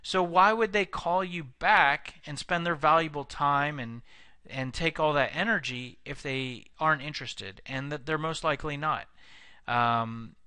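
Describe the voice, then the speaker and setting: Bright, clear-voiced man teaching sales. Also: a little high, unhurried, dry recording. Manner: conversational, frequent fillers and restarts. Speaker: sales trainer. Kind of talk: instructional video lecture.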